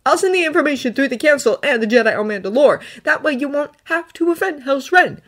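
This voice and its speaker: A woman's voice: high-pitched, animated, quick pace, fairly bright tone, voice pushed up. A woman voicing an undercover male Jedi, earnest and weary, in a fan fiction audiobook.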